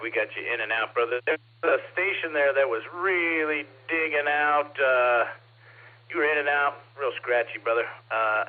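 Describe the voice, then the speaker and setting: A man's voice: medium pitch, narrow, tinny audio. A man running a ham radio net, taking check-ins and reading call signs in a friendly, casual way. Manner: friendly, calls people brother. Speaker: net control operator. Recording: radio transmission.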